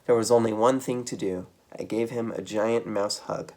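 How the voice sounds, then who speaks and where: A man reading a children's book aloud as a storytime reader, with dramatic, expressive delivery. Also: fairly low pitch, moderate pace.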